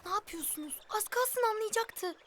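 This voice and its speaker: A woman's voice: extremely high pitch, lively intonation, moderate pace, a bit bright, soft background music. A woman voicing a determined, earnest young child who leads friends in a cartoon adventure. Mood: earnest, decisive. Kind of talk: cartoon voice acting.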